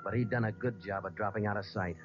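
A low, clipped American police sergeant acted by a man reports his case in a matter-of-fact deadpan. It is old radio crime drama, with a dulled vintage sound and music behind. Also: fast talker, highs cut off, lightly acted. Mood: deadpan narrating. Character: terse, businesslike male police sergeant narrating his case. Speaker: lead actor and narrator of a police radio drama.

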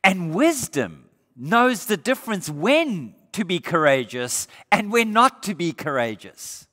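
A man's voice: high voice, slow. A middle-aged male pastor from Australia preaching a sermon on a church stage, asking the congregation rhetorical questions. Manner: animated preaching with rhetorical questions, gesturing while standing. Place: church sanctuary stage, indoors. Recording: reverberant church hall.

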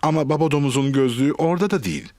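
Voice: mid-range voice, rapid-fire, mellow, lightly acted. An adult narrator telling a children's cartoon story in simple, explanatory storybook sentences.

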